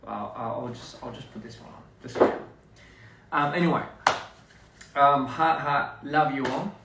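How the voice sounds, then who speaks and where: A man's voice: mid-range voice, unhurried, very noisy. Chill aussie guy rambling to fans on a live, roomy echo, phone held a bit far off. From Australia. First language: English. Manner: relaxed, rambling, laughing between lines. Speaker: livestreaming artist. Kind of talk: casual live chat with fans. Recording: echoey room, distant phone mic.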